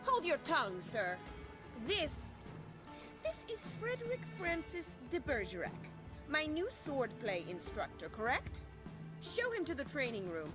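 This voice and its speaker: An actress playing an imperious, quick-witted young noble lady in a video game, with haughty, commanding, formal diction. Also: high-pitched, wildly swooping pitch, full character voice. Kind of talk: video game dialogue acting. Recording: music behind the voice.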